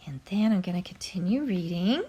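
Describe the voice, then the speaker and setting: A woman teacher reading a story aloud to young children, expressive and carefully enunciated, pausing to explain. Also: fairly low pitch, dull audio, slight background noise.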